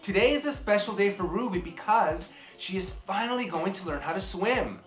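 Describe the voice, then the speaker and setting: A woman reading a children's picture book aloud in an expressive, theatrical way, with dramatic pauses and emphasis. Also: mid-range voice. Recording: roomy echo, music behind.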